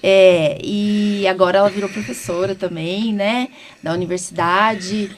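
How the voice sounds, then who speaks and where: A woman's voice: mid-range voice, steady tone, a little slow, slight background noise. A woman with a Brazilian accent hosting a podcast, chatting informally in broken-up phrases with frequent tag questions. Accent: Brazilian Portuguese. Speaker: podcast host, allergist doctor. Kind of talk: casual podcast chat.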